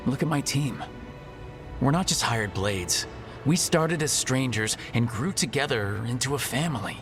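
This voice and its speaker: A man's voice: mid-range voice, lively intonation, measured pace, natural voice. A man playing a kind, earnest hero who leads a team in a fantasy game, with a reassuring, supportive manner. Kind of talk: fantasy video game acting. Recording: game dialogue with music behind.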